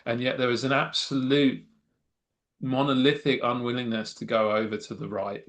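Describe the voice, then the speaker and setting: A man's voice: mid-range voice, flat delivery, normal speed, fairly bright tone. Middle-aged man, wry political analyst. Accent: non-Mancunian English. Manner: thoughtful, wry, many restarts and fillers. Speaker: political scientist guest. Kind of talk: conversational expert analysis.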